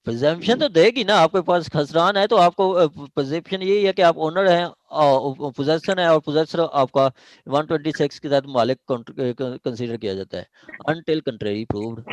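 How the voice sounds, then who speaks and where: A man's voice: a little high, moderate pace, no room sound, rough recording. A man with a Pakistani accent lecturing an online law class, repeating points, checking understanding and mixing in English legal terms.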